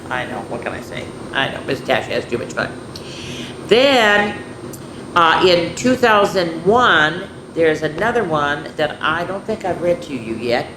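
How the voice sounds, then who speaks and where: A woman hosting a children's story time, reading picture books aloud in a playful, chatty way with rambling asides to her viewers. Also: low-pitched. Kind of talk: informal picture-book read-aloud and show-and-tell to children. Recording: echoey room with clear background noise.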